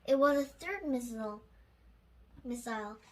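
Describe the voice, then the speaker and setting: A child reading a storybook aloud in a halting way, correcting slips along the way. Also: mid-range voice, a little hiss or hum.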